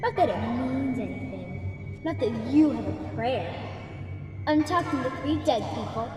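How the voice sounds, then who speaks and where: An actress playing the bitter, taunting ghost of a girl beheaded as a child, talking slowly with eerie laughs in a game cutscene. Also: a little high, expressive. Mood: mocking. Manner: slow, mocking, with eerie laughs. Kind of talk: video game cutscene acting. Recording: echoey reverberant hall with music.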